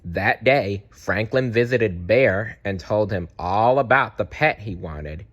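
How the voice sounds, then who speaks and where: A man narrating a children's storybook aloud in an expressive, deliberate way. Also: fairly low pitch, lo-fi sound.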